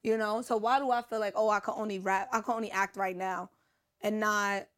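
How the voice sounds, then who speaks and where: A young woman with a New York accent talks as an interview guest in a brash, joking way, laughing often and swearing freely. Her voice sits at an ordinary middle pitch for a woman. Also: steady tone, fairly quick, fairly bright tone. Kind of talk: casual interview chat.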